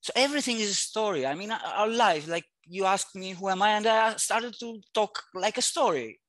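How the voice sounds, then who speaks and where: A man in his forties, a Greek native speaker, joins a video interview from a room at home through a headset mic. He keeps pausing, restarting and hunting for words, with a lot of fillers. The sound is dry and close. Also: high voice, a bit bright, no room sound. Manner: halting, searching for words with many fillers and restarts. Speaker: guest artist. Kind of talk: casual interview conversation. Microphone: headset on video call.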